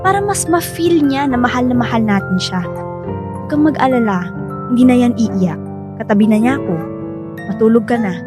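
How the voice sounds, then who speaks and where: A woman's voice: a little high, lively intonation, normal speed, long pauses, over music, natural voice. A woman playing a patient young wife and devoted new mother in a Filipino radio drama. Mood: insistent, reassuring.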